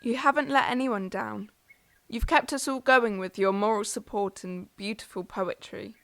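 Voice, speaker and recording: A woman's voice: medium pitch, normal speed, vocal fry, a bit bright. A young woman playing an encouraging, hardworking farm daughter in an amateur audio drama.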